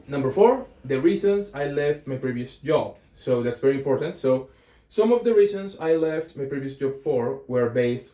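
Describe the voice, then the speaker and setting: A man's voice: mid-range voice, darkish tone. A young man with a Colombian accent talking casually to camera in a reflective vlog, thinking aloud with frequent ums and self-corrections. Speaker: vlogger. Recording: echoey room.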